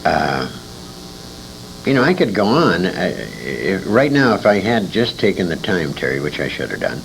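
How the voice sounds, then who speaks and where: A man's voice: sing-song, normal speed, gravelly. An older American man being interviewed, reminiscing in a rambling way as he searches his memory for names. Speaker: interviewee, former radio actor. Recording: noisy amateur tape with low rumble.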